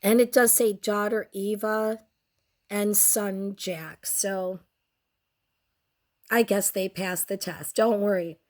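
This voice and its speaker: A young woman talks through a bad cold, her voice close to gone. She speaks slowly and draws out her words. Her commentary is sardonic and crude. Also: medium pitch, fairly even intonation, a little mellow. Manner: crude, sardonic, slow and drawn out. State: sick, nearly lost voice. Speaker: host. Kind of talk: casual commentary on photos.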